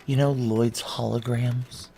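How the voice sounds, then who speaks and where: A woman's voice: very deep voice, fairly even intonation, slow, gravelly, dark tone, a little hiss or hum, full character voice. An actress playing a weary, blunt career military woman in an audio drama, middle-aged, with an American accent and a wry, intimate way of talking. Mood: conspiratorial. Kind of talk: naturalistic noir audio drama dialogue.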